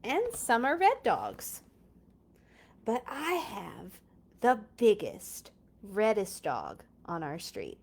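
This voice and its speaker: A woman reading a children's picture book aloud in an expressive, playful way, with chatty casual asides. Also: mid-range voice, slow, creaky.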